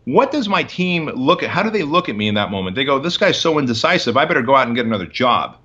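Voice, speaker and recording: A man's voice: mid-range voice, very animated, quick pace, bright, forceful tone, breathy edge. A man in his forties, a guest entrepreneur, telling his story in an interview with an emphatic, punchy motivational delivery over a video call from his office. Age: 40s. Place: office with bookshelves, indoors. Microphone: video call webcam. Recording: slightly dull video call audio.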